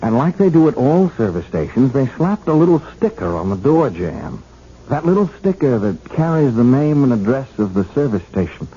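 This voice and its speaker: Shrewd radio detective, swooping delivery. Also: male voice, medium pitch, sing-song, normal speed, warm tone, husky. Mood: triumphant, accusing. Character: shrewd, folksy male detective confronting suspects. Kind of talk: 1970s radio drama acting. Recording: muffled, hissy 1970s radio recording.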